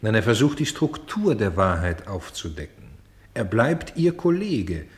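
Reflective, earnest man for radio drama; lowish voice with animated, swinging intonation. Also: a little slow. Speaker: actor in a radio play. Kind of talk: radio-play dialogue.

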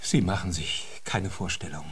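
An actor in a German radio drama playing a flustered, fretful conductor and concert organizer, speaking in a wordy, formal, theatrical way. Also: male voice, sits low, moderate pace, a bit bright, slightly rough, heavily acted. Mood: harried, exasperated.

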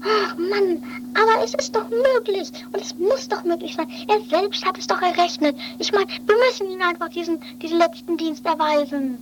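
A woman plays a childlike, stubborn daredevil with a piping, extremely high voice. The figure is eager and whiny, insisting over and over like someone who will not be refused. It sounds like an improvised eighties radio play, with clear noise on the recording. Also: normal speed, hardly any pauses. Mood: insistent. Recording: noisy amateur 1980s radio play recording.

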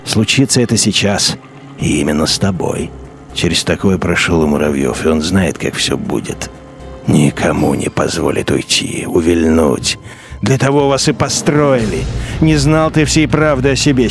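A man narrating a radio drama in an intense, brooding literary style, with wide swings between low murmurs and sharp lifts. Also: sing-song, moderate pace, gravelly, soft background music.

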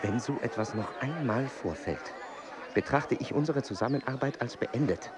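An actor playing a strict, pedantic, driven explorer and scientist in a radio play, clipped and commanding. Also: male voice, medium pitch, animated, moderate pace, a crowd in the background, natural voice. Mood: severe. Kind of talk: German radio play acting.

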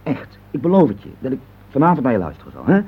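Fast-talking, husky, irritable husband. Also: male voice, mid-range voice, huge pitch swings. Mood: harried, placating. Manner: impatient, grumbling, dismissive. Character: irritable, evasive middle-aged husband, a harried office man. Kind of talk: naturalistic Dutch radio play acting. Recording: muffled old radio play recording.

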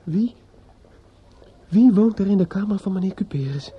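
A man's voice: sits high, expressive, normal speed, slightly rough, full character voice. An actor playing a drunk, bitter, grieving judge's son in a radio play, stammering and repeating himself as he broods. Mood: bitter brooding. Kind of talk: radio drama acting with inner monologue. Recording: muffled, noisy old radio play recording.

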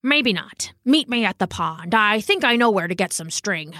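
A woman's voice: mid-range voice, lively intonation, normal speed, pushed and bright, dry recording, clean studio sound. A woman voicing a humble, earnest old male dog in a storybook, speaking hesitantly and sincerely. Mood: thoughtful. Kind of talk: storybook character voice.

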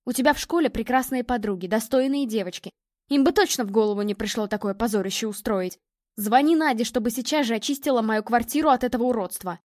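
A woman's voice: fairly high pitch, lively intonation, fast, darkish tone, no room sound, clean studio sound, natural voice. A woman voicing a domineering, snobbish mother in a story, cutting, sarcastic and commanding. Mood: icy sarcasm.

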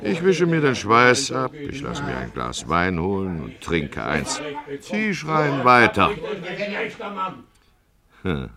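Deep-voiced, wry old-style town judge. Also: male voice, huge pitch swings, takes it slowly, pauses often, crowd noise, heavily acted. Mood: wry, resigned. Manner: sardonic, swings from confiding asides to declaiming. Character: weary, wry male town judge urging peace. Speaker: judge and first-person narrator. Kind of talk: radio play acting with confiding narration.

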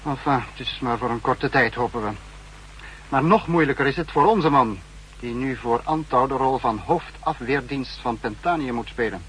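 An actor playing a calculating spy chief, speaking with measured, superior authority in a 1960s radio drama. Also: male voice, medium pitch, a bit bright, close to an everyday voice. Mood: pondering, grave. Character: calculating, authoritative male spymaster, head of secret service. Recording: faintly noisy 1960s radio recording.

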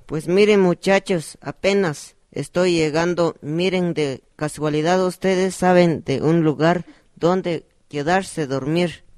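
A man's voice: a little high, slow pace, a little mellow, no room sound. A young man with a Guatemalan accent acting a polite, needy young villager new to the city in an amateur radio drama. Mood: friendly. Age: young adult.

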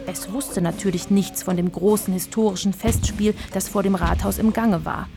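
A woman narrating a children's radio play in a lively storytelling manner. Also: mid-range voice, normal speed, creaky. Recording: crowd noise and music behind the narration.

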